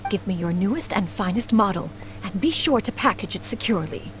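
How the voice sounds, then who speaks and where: A woman's voice: mid-range voice, expressive, brisk, lightly acted. A woman playing an aloof, haughty immortal lady in an anime game, speaking in stately, old-fashioned phrases over music. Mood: brisk, guarded. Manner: archaic, stately phrasing, calls self "one". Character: aloof, formal, ancient adeptus woman, haughty, awkward.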